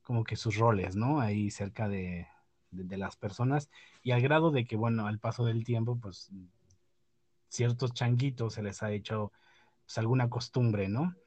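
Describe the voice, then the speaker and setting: A man's voice: medium pitch, steady tone, normal speed, darkish tone, dry recording. A man hosting a podcast, chatting casually about the news with many fillers and restarts. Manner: hesitant, many fillers and restarts, laughs at own remarks. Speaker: podcast host.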